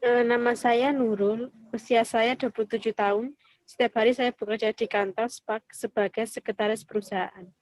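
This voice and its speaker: A woman's voice: on the high side, steady tone, normal speed, a little mellow, dry recording, lightly acted, rough recording. A woman playing a 27-year-old company secretary who introduces herself politely. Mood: plain. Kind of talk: student counseling roleplay.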